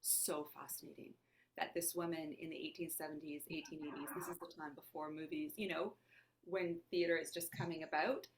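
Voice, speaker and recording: A woman's voice: low voice, steady tone, a little fast, background noise. Thoughtful woman from Lebanon, Armenian native. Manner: thoughtful, searching, asks herself questions aloud. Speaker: actor and playwright guest. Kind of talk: conversational interview.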